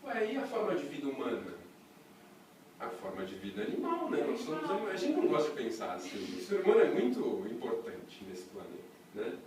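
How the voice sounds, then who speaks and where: Lively man lecturing in an echoey room. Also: a little high, a little fast, recorded from a distance, slight background noise. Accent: Brazilian Portuguese. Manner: chatty, lively, asks the class rhetorical questions. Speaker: breathing workshop teacher. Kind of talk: casual workshop lecture to a small group. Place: workshop room with a small audience.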